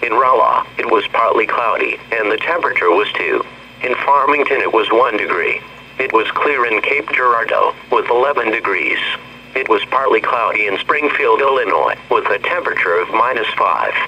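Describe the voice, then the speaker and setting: A man's voice: mid-range voice, expressive, moderate pace, sharp tone. A synthetic male voice with an American accent reads a scripted weather forecast as a radio announcer, in formal, clipped phrases. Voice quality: synthetic text-to-speech voice. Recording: dull, noisy weather radio broadcast.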